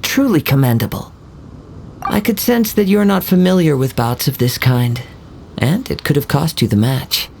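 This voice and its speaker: A man voicing a calm young wandering samurai who speaks in a formal, measured, courteous way. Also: a little high, creaky, a little hiss or hum. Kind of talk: video game voice acting.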